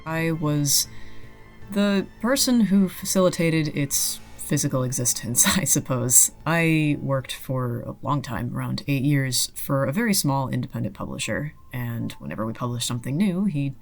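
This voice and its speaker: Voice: medium pitch, expressive, moderate pace. A person explaining a craft process in an informative talk. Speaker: book maker. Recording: music under the voice. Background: music.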